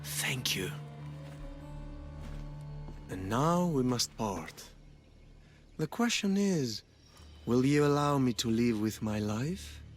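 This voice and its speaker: An actor playing a philosophical, quietly menacing cult storyteller in a video game, speaking in a measured, eloquent, theatrical way. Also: male voice, mid-range voice, animated. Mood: reflective, courteous. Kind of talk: video game dramatic acting. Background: music.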